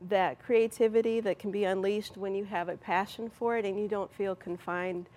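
A woman's voice: medium pitch, fairly even intonation, normal speed, darkish tone, low-quality recording. A woman giving conversational answers as a guest in an interview.

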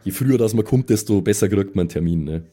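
A man's voice: fairly low pitch, fast talker, mellow. A man chatting casually as a player in an improvised tabletop role-play.

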